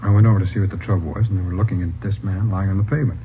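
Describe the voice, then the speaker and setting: Fast-talking anxious young rookie cop, old radio. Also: male voice, deep voice, fairly even intonation. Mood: earnest, explaining. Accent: American. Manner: deferential, halting, pleading. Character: anxious young rookie policeman, earnest and respectful. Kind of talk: 1950s radio drama acting. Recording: old radio, narrow band, noisy.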